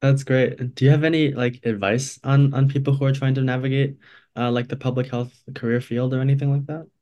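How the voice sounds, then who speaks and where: A man's voice: mid-range voice, level tone, a little fast, darkish tone. A young man, a university student hosting a podcast, reading an intro and then asking eager, hedging questions full of "like". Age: young adult.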